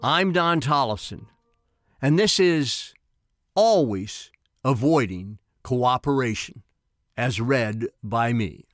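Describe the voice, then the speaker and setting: A man in his sixties reading his own personal essay in a deliberate, emphatic way with formal phrasing. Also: mid-range voice, animated, faint music behind. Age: 60s.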